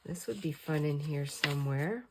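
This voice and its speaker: A middle-aged woman with a hoarse voice chatting in a relaxed, rambling way as she crafts at her table late at night. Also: low voice, moderate pace, background noise. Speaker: crafting channel host. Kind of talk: casual crafting chat.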